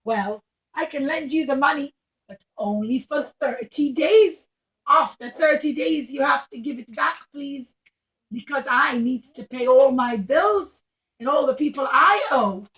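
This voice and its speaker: A woman voicing a wealthy Roman lady lender who is stern yet kind, speaking loudly and emphatically. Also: sits high, expressive, unhurried, poor audio. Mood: emphatic. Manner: loud, emphatic, calling out.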